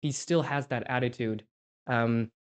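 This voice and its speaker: A man's voice: medium pitch, fairly even intonation, a little slow. A man in his twenties from Poland, co-hosting a podcast and being interviewed, talking in a reflective way and thinking aloud with frequent restarts. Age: 20s. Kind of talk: casual podcast conversation.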